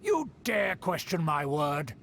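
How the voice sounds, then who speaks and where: An actor playing a pompous, scheming aristocrat in a video game, speaking in haughty, formal phrases. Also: male voice, on the high side, sing-song, slow, fairly bright tone, exaggerated voice. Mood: indignant. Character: pompous scheming male aristocrat, vain, haughty, easily indignant. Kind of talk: video game character dialogue. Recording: game audio with clear background noise.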